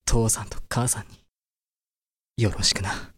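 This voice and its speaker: A woman's voice: very deep voice, fairly even intonation, unhurried, husky, no room sound, clean studio sound, full character voice. A woman playing an earnest, protective young man with urgent, pleading speech in a Japanese amateur voice drama. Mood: tender, weary. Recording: music behind the voice.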